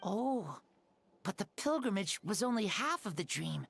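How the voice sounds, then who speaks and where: A woman's voice: mid-range voice, very animated, unhurried, gravelly, slightly rough, exaggerated voice. A shy stammering frail boy. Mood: wondering. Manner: hesitant, stammering. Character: young frail boy, shy then eager. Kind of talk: video game voice acting. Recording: game audio with soft music underneath.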